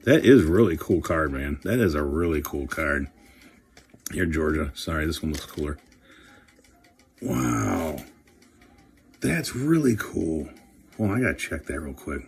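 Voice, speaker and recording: A man chatting casually and excitably while opening trading card packs, swearing now and then. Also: extremely low pitch, unhurried, gravelly, long pauses, breathy edge. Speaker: career coach and card collector host.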